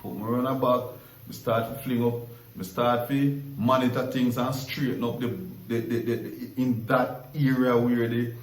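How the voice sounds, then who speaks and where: A man's voice: mid-range voice, level tone, moderate pace, reverberant, distant mic, rough recording. A Jamaican man hosting a casual story-sharing chat, rambling and repeating words as he searches for them. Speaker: host.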